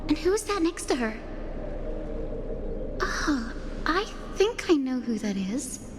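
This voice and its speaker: A woman playing a gentle, thoughtful young woman in a fantasy game, speaking in a soft, musing, fond manner. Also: sits high, big pitch swings, slow, noisy background, full character voice. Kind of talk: voiced game dialogue.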